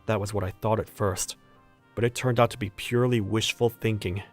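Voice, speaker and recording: A man acting an earnest, put-upon young office worker who narrates and voices his troubles in a manga dub, with expressive delivery. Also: lowish voice, fairly quick, faint music behind, lightly acted. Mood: weary, reflective.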